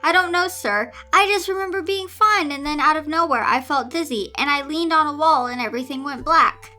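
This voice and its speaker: Woman reading as a high-voiced, wounded teenage schoolgirl. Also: a little fast, pushed and bright. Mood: meek, polite. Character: hurt, timid teenage schoolgirl pleading for affection. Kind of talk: fan fiction read-aloud.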